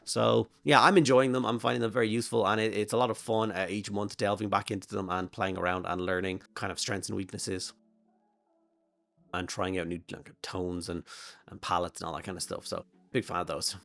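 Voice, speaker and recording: A hoarse Irish man with a flu-worn voice talks casually through a miniature painting tutorial, rambling and thinking aloud with plenty of fillers. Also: lowish voice, level tone, brisk, fairly bright tone, soft background music. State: hoarse from a flu and throat infection. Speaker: miniature painting channel host.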